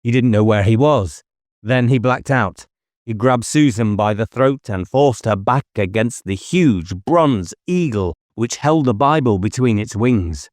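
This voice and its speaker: A man's voice: medium pitch, lively intonation, unhurried, darkish tone, no room sound, clean studio sound. A man reading a novel aloud as an audiobook narrator, in a scripted dramatic style.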